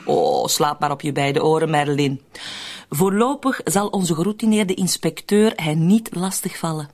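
A woman's voice: sits low, big pitch swings, a little slow, a little mellow, full character voice. An actress playing a scheming, mocking woman in a radio drama, sardonic and coolly in control. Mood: amused, reassuring. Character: scheming, mocking woman fond of morbid jokes.

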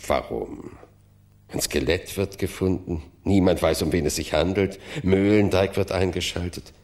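Male publisher, radio crime drama: suspicious, deliberate, gravelly low voice. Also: a little mellow. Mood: suspicious, probing. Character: suave, suspicious, probing male publisher, minister's old friend. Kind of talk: naturalistic radio play acting.

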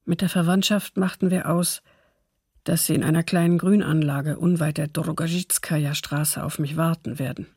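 Husky, creaky, subdued female witness. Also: deep voice, steady tone, normal speed, darkish tone, no echo, clean studio sound. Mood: subdued, bitter. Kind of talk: documentary radio drama testimony.

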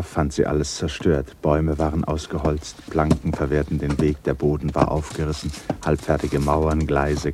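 A man narrating a literary radio drama in a measured, expressive storytelling manner. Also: rumbling low. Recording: noisy radio production with ambience. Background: noise, ambience.

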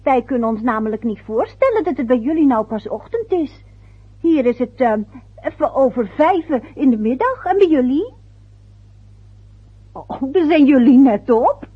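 A woman's voice: high voice, very animated, normal speed, slightly rough, heavily acted. An actress playing a flustered, sentimental middle-aged mother in a radio play, speaking hesitantly and with little exclamations. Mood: chatty, nervous. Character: flustered, sentimental mother phoning her faraway son's family. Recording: tinny, narrow-band old radio recording.

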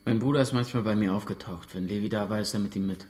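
A guarded, defiant uncle in a radio drama, played by a male actor. His voice is on the low side. He gives curt, evasive answers under questioning and keeps the delivery naturalistic. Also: steady tone, quick pace, darkish tone, natural voice.

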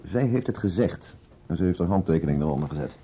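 Deep, husky police commissioner. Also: male voice, animated, fast, warm tone. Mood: insistent, defensive. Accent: Netherlands Dutch. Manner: firm, insistent, curt. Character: stubborn, authoritative male police commissioner, short-tempered. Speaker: actor in a radio drama. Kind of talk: naturalistic radio play dialogue. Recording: muffled radio drama recording.

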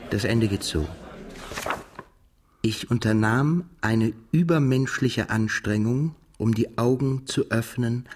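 An actor playing a brooding, haunted émigré narrator in a literary radio drama, speaking in a halting, self-correcting way. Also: male voice, on the low side, slightly rough. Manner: halting, self-correcting while translating aloud from English. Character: brooding Russian émigré man, narrator haunted by a past shooting.

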